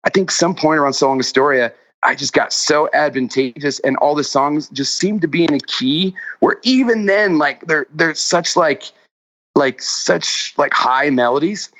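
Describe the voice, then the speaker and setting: A man chatting casually as a musician guest in an interview, with a halting, rambling way of talking that often restarts mid-thought. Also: on the high side, fairly bright tone, poor audio. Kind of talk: casual remote interview chat.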